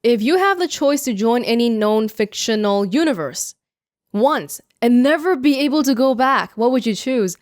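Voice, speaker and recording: A woman's voice: fairly high pitch, expressive, moderate pace, no echo. A young woman from Canada answering viewer questions in a casual vlog, chatty and self-mocking, speaking close to the microphone. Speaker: YouTuber. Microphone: close studio mic.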